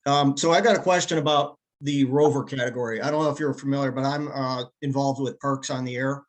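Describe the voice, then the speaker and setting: Man, medium pitch, casual talk, meeting participant, question-asking. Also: fairly even intonation, brisk.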